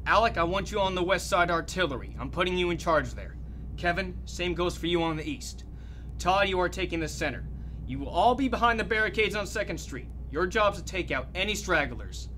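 A man acts out a cocky, bullish army commander in a radio play. His voice is high and bright, barking with brash authority over faint noise. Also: animated, a little fast. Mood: brash confidence. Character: overconfident, bullish male American military commander. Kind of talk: audio drama acting.

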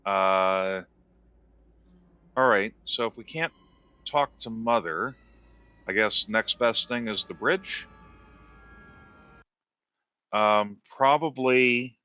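A man's voice: on the low side, drawn-out words, long pauses, pushed and bright, clear, resonant tone. A man playing in a tabletop game, talking casually and hesitantly in role over online voice chat. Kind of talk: casual in-game roleplay talk. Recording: dull online voice-chat audio.

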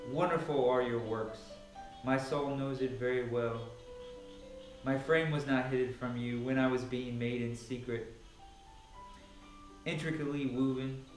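A man speaking as a Bible reader at home, recorded in a large room by a camera some distance away. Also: medium pitch. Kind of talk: casual introduction, then dramatic scripture reading. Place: large, sparsely furnished living room at home. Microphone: camera a few metres away. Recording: echoey room, picked up from a distance.

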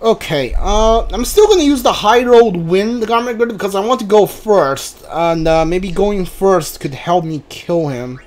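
A man with a high voice narrates his gameplay live in a casual style. He speaks very slowly and haltingly, working out his choices aloud as he goes. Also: lively intonation, darkish tone. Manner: hesitant, halting, thinking aloud. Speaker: game streamer.

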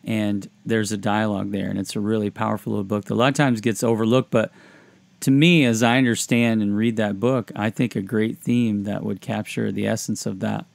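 A man's voice: on the low side, little pitch movement, a little fast, vocal fry, no echo. A man hosting a podcast discussion about faith in a reflective, conversational style. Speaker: podcast host.

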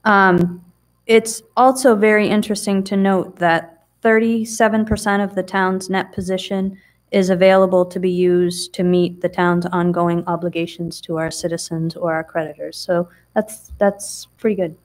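A woman's voice: lowish voice, flat delivery, normal speed. A middle-aged woman presenting audit figures to a town council in a chatty, explanatory way with frequent ums and restarts, recorded on a table mic in a council chamber.

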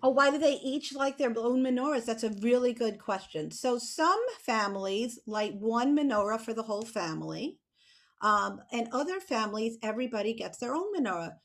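An American woman with a fairly high voice talks to children on a video call. She explains things simply and casually, in an encouraging manner. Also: animated, normal speed, fairly bright tone. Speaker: children's author and illustrator as guest. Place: small home room with bookshelf, indoors. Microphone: computer mic on video call.

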